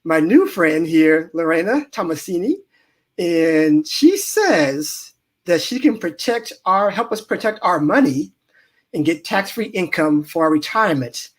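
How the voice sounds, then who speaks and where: A man's voice: fairly high pitch, big pitch swings, unhurried. Playful man hosting a live interview. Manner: playful, joking, laughs often. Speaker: podcast host.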